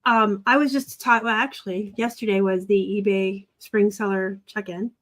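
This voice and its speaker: A woman from Arizona chatting casually as host of a live stream, talkative and quick to laugh. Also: medium pitch, steady tone. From Arizona, raised by a Southern family. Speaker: host of a reseller live chat.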